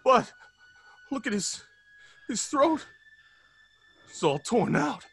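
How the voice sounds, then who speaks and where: Excitable, slightly husky radio fellow. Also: male voice, very high voice, takes it slowly, heavily acted. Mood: alarmed horror. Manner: breezy, slangy, exclaiming. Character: excitable male pal growing alarmed, breezy 1930s fellow. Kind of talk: old time radio acting.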